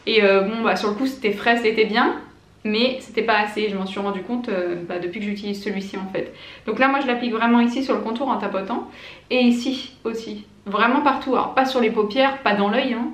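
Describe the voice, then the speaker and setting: A woman's voice: mid-range voice, echoey room. A woman in her late twenties chatting enthusiastically to camera as a beauty vlogger, with lots of fillers and restarts. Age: late 20s. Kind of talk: casual product review to camera.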